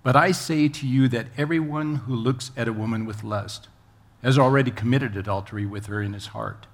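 A man's voice: mid-range voice, fairly quick. A man reading a Bible passage aloud as a scripture reader. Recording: faint background ambient noise.

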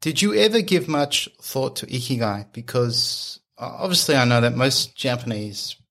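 A man's voice: mid-range voice, lively intonation, moderate pace, fairly bright tone. A man in his early fifties hosting a podcast interview in a conversational style. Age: early 50s. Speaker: podcast host.

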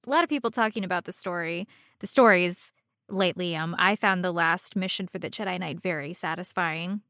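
A woman's voice: on the low side, a little fast, a bit bright, no room sound, clean studio sound. A woman co-hosting a podcast, chatting casually and informally about a video game with a playful, teasing manner. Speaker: podcast co-host.

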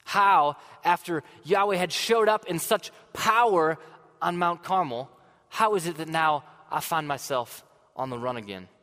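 A man playing a weary, passionate older prophet in a dramatic monologue to a congregation, earnest and swinging from fervent outbursts to hushed sadness. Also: a little high, unhurried. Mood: despairing. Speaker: dramatic first-person storyteller. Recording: long hall reverb.

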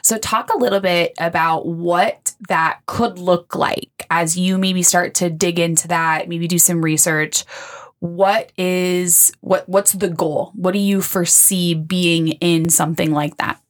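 A woman's voice: lowish voice, steady tone, measured pace. A woman interviewing a new intern, encouraging and talking in long, winding questions. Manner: warm, encouraging, talks in long run-on questions. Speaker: interviewer for the organization. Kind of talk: casual interview.

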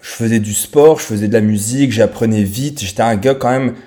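French-accented man in his thirties, podcast host, mellow tone, mid pitch, talks straight to the listener. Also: brisk. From France. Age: 30s. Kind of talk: casual podcast monologue.

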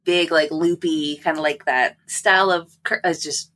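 A woman's voice: sits low, fairly even intonation, moderate pace, fairly bright tone. A woman co-hosting a podcast, casually recapping a book with wry, sarcastic asides. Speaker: podcast co-host.

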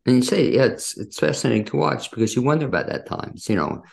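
Very creaky-voiced man hosting a casual interview. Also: medium pitch, big pitch swings, quick pace. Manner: rambling, circling toward his questions. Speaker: interview host.

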